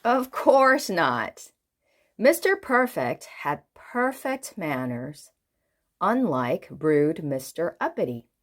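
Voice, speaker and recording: A woman reading a children's book aloud in an expressive way, putting questions to the listener. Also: on the low side, takes it slowly. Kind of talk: animated read-aloud storytelling for children.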